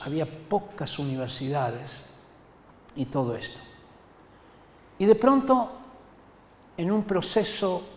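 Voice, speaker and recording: An older man from Argentina, preaching a sermon in an emphatic, deliberate manner and addressing his listeners directly. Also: fairly high pitch, darkish tone. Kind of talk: sermon to a congregation. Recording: echoey hall with long reverb.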